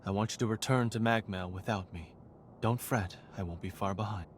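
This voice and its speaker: An actor playing a courteous, unflappable male researcher in a video game, speaking in a polite, measured, genteel manner. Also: lowish voice, slight background noise, lightly acted. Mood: placid, reassuring. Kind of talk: video game cutscene acting.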